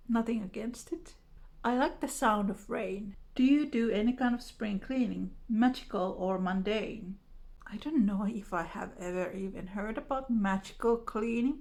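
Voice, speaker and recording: A woman vlogger with a Finnish accent talks casually to her viewers. Her medium-pitched voice has a noticeable creak and fry, and she takes her time as she speaks in her second language. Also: darkish tone. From Finland. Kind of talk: casual chat to camera, answering tag questions.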